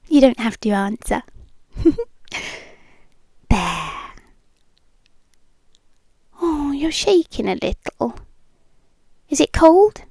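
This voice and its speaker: A possessive, doting British girlfriend, acted by a woman, murmurs in a high, breathy voice that often slips into whispers. She coaxes very slowly, lingering between phrases with soothing, clingy tenderness. It is an intimate ASMR roleplay, close and dry on the mic. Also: warm tone, no room sound, heavily acted. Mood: coaxing, tender. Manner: slow, lingering, coaxing delivery with pauses. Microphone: close microphone, dry.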